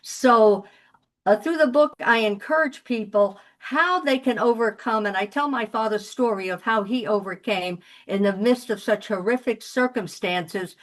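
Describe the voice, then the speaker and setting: A woman speaking as an author and panelist on a video call, sharing her story. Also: a little high. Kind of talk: testimonial at a virtual panel. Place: virtual summit video call.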